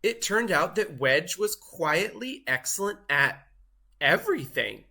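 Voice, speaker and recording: Man playing a bright, boastful storybook cheese. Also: high voice, sing-song, creaky, exaggerated voice. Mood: astonished, indignant. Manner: theatrical, grandiose, drawn-out emphasis. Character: boastful, show-off male cheese telling his story. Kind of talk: expressive children's storybook read-aloud.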